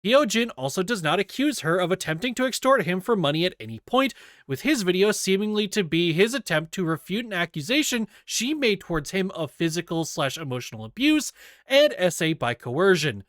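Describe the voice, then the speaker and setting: Man, scripted document narration, high voice, dry close sound, deliberate delivery. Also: sing-song, a bit bright, no room sound. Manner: deliberate, spells out quote marks aloud. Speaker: reader of an investigation document.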